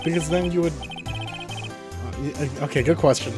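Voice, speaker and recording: A man's voice: a little high, moderate pace, over music. A man chatting casually as he hosts a group game stream. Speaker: stream host.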